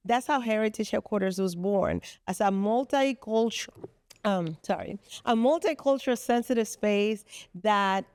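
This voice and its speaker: A woman's voice: medium pitch, darkish tone, smooth, dry recording, clean studio sound. A woman from the Republic, a podcast guest explaining her business at length with earnest fillers. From Dominican Republic. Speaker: guest, co-founder of a business space. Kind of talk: podcast interview.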